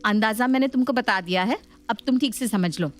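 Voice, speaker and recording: A woman's voice: fairly high pitch, fairly quick, close to an everyday voice. A woman voicing a capable, bossy young married sister-in-law who gives instructions in a family story. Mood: instructing.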